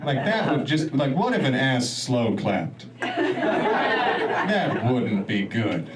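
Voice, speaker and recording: A man's voice: medium pitch, very animated, slow, a loud crowd. A man doing a stand-up comedy set in a club, rambling and laughing at his own jokes. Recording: distant mic, noisy club room.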